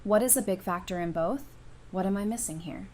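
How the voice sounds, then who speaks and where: A woman playing a playful, sassy, warm-hearted doctor in an audio drama, with an expressive, teasing delivery. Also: mid-range voice, a little fast, a little hiss or hum, lightly acted. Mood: surprised. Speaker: voice actor in a fan audio drama. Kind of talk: conversational audio drama acting.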